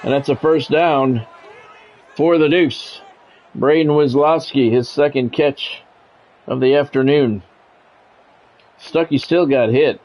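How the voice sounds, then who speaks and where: A man's voice: medium pitch, takes it slowly, pauses often. A man doing football play-by-play for a video game in short, clipped phrases, with casual, dry and blunt asides. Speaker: sports video game streamer and commentator. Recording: dull, lo-fi mic with faint noise.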